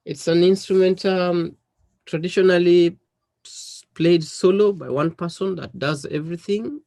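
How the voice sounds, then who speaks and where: A man's voice: high-pitched, unhurried, vocal fry, mellow, dry recording. A man from western Kenya with a Kenyan accent, a native speaker of Dholuo, answering interview questions with frequent ums and repeated words. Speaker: musician interviewee.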